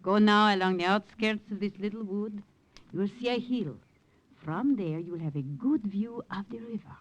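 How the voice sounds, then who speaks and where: An actress playing a protective older French village aunt with a French accent in a 1950s radio drama. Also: female voice, medium pitch, moderate pace, lightly acted. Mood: cautious, urgent. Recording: noisy vintage 1950 radio recording.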